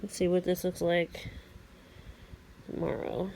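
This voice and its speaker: A woman's voice: on the low side, level tone, slow pace, vocal fry, warm tone. A woman giving casual, matter-of-fact, rambling commentary to a phone at home, half muttering to herself. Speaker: amateur weather radio vlogger. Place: room at home at night. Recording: faint background noise, handheld home recording.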